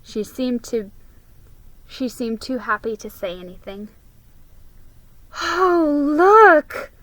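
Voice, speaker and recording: A woman's voice: medium pitch, a little slow, dry recording. A woman giving a dramatic reading of a children's story, as a storybook reader.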